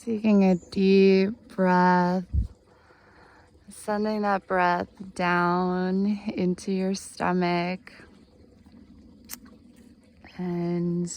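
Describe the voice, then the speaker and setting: A woman in her thirties leading a guided meditation outdoors, speaking gently and earnestly with long pauses. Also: medium pitch. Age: 30s. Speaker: guided meditation host, healer. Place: outdoors in the woods by a spring.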